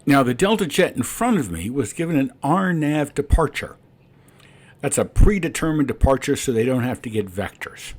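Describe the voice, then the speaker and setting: A man's voice: mid-range voice, animated, normal speed, husky. A man who is a private pilot, explaining his flight and making radio calls. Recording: cockpit and radio noise.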